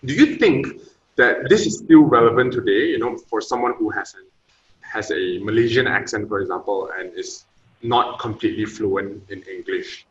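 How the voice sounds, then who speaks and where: A man's voice: lowish voice, normal speed. A young man hosting a podcast, asking casual, rambling questions full of fillers. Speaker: podcast host. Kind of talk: interviewing. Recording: roomy echo, dull lo-fi sound.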